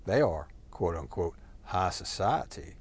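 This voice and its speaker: A man's voice: sits low, expressive, unhurried, husky. A man from Texas talking in a rambling, profane monologue full of fillers and digressions. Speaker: solo talker.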